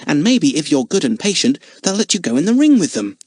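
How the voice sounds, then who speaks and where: Brief: man playing a bright, bouncy boy, raised pitch, husky grain. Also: brisk, dry recording, clean studio sound. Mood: excited. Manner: bright and excited. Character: cheerful young circus boy, lively.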